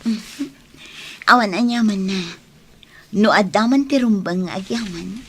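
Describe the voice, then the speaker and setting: A woman playing a soft-hearted, hesitant young village woman in love in an Ilocano radio drama. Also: medium pitch, measured pace, husky. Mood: tender, hesitant.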